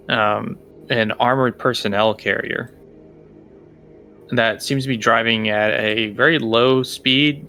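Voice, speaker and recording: Slow, gravelly low-voiced man as game master. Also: pushed and bright, slight background noise. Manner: halting, improvising scene descriptions. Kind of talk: narrating a tabletop game.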